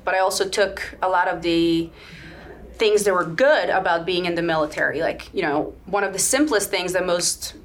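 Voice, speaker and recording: A woman's voice: fairly low pitch, steady tone, normal speed. A woman in her thirties, born and raised in Sweden, talking frankly and upbeat as a podcast guest, laughing easily. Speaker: podcast guest, real estate broker. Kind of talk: casual interview chat.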